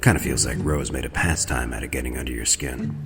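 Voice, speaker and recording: Man acting a very deep, gravelly, sardonic sheriff. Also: brisk, full character voice. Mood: dry, weary. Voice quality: low, gravelly. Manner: dry, deadpan, laconic. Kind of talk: audio drama acting. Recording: music behind the voice.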